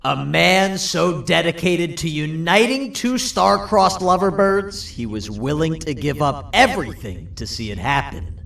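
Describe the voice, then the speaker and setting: A melodramatic, vengeful trickster for a video game. The voice is high, bright and gravelly, with slow theatrical swoops. It sounds echoey and set back from the mic. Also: male voice, lively intonation, far from the mic, full character voice. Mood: grand storytelling. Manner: theatrical, drawn-out storytelling. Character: melodramatic, scheming, vengeful trickster putting on a stage play. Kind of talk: video game character acting.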